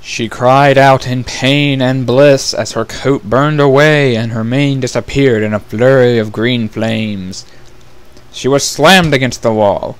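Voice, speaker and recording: A man's voice: medium pitch, a bit bright, low-quality recording. A man narrating a fantasy story as a scripted dramatic reading.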